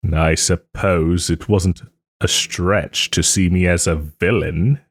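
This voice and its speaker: Male actor as haughty wounded nobleman, lowish voice, unhurried, formal old-fashioned phrasing, theatrical, romantic audio roleplay. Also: fairly even intonation, slightly rough, dry recording, clean studio sound, heavily acted. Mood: hurt, confessional. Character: wronged young nobleman, haughty, wounded, still tenderly caring.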